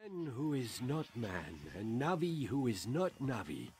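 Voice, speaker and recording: A riddling alien shaman, speaking in halting broken phrases from a video game. His lively voice climbs and dips as he muses, unhurried and deliberate. A faint ambient hiss sits behind him. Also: mid-range voice. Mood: mystical. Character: old Na'vi shaman, cryptic and mystical.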